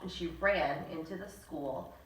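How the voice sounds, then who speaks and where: A woman co-hosting a children's bedtime story reading, reading aloud in a lively way and jumping high for the characters' lines. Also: unhurried, a little hiss or hum. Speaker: co-host and storyteller. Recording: echoey room, mic at a distance.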